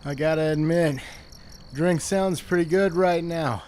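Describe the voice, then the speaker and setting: A raving young punk madman, acted by a man, cries out in a raised, loud voice. He is proud and grandiose, declaiming as in an audio drama. Noise and insects are clearly audible behind him. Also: a little high, a little slow, pitched above the performer's own voice, lightly acted. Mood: wry, loud.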